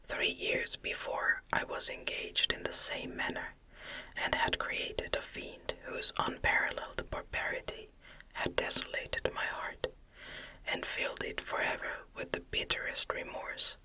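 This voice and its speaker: Whispered audiobook narration, ASMR style, breathy, very bright, unhurried, soothing, deliberate phrasing. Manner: slow, soothing, deliberate cadence. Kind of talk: ASMR whispered reading of a classic novel.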